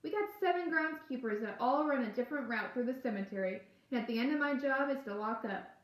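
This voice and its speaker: A woman's voice: high-pitched, quick pace, close to an everyday voice. A woman voicing a male cemetery groundskeeper in a storybook, firm and plain but kindly. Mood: firm, dismissive. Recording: echoey room.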